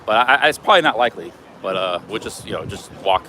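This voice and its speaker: A man livestreaming on a city street, talking casually with a loud, carrying voice and lots of fillers and restarts. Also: fairly low pitch, expressive, quick pace, a loud crowd. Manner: rambling, many fillers and restarts. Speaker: livestream host. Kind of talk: casual chat while streaming. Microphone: streaming camera rig. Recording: clear street noise.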